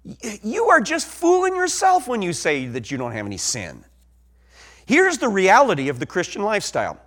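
A man preaching a sermon in an Ozark accent, swinging from soft asides to loud emphatic peaks. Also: sits high, wildly swooping pitch, moderate pace.

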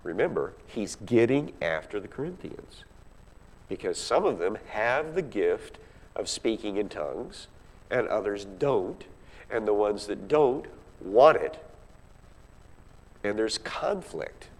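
A man's voice: on the low side, very animated, slow. A man teaching from the Bible in an emphatic, conversational way, often putting rhetorical questions to his listeners. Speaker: Bible class teacher or preacher. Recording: echoey hall with long reverb.

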